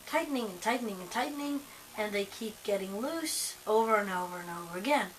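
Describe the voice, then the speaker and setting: A young woman with a hoarse voice from a cold, chatting casually to camera at home, rambling with lots of ums and likes. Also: medium pitch, animated, fairly quick, slight background noise, low-quality recording. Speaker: vlogger.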